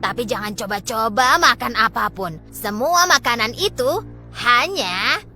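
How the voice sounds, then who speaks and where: Wicked old storybook witch: high-pitched, swooping delivery, greedy, menacing and bossy. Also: expressive, sharp tone, faint music behind, heavily acted. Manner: menacing, commanding, drawn-out emphatic words. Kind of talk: children's storybook character voice.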